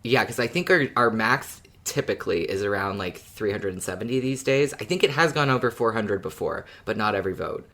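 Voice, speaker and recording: Slightly deep man in casual discussion. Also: expressive, a little fast, hardly any pauses, sharp tone. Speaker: podcast co-host. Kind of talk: casual fan discussion chat.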